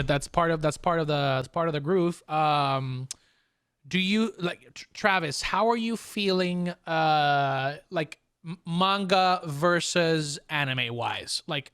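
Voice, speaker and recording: A man hosting a casual anime review chat, animated and slangy, with some swearing. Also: on the high side, clean tone, no echo, studio quality. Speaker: podcast host.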